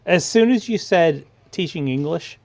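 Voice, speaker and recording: A man's voice: fairly high pitch, lively intonation, a little slow, a little mellow. A man in casual conversation on an online livestream panel.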